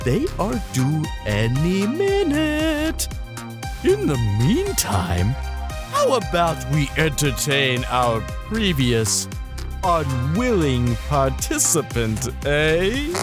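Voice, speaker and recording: Wildly theatrical sadistic show host. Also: male voice, a little high, wildly swooping pitch, takes it slowly, loud music behind the voice, heavily acted. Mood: sly menace. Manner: grandiose, singsong, mock-courteous. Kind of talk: audio drama acting as show host.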